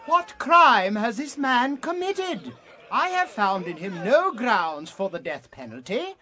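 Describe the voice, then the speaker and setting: A man reading Scripture aloud and voicing a stern, weary Roman governor in a slow, deliberate way. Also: extremely high pitch, very animated, bright, forceful tone, voice pushed up, heavily acted. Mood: insistent. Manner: slow, deliberate delivery in a raised voice.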